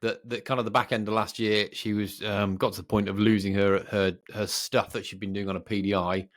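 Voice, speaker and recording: A man's voice: fairly low pitch, flat delivery, brisk, fairly bright tone. A man co-hosting a podcast, talking in a casual, informal way and thinking aloud as he goes. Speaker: podcast co-host.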